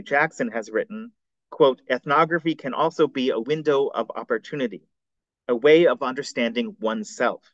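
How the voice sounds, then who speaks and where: A man, a scholar reading a prepared seminar paper aloud in a measured, formal way. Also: mid-range voice, a bit bright, dry recording. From United States. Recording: dull, dry recording.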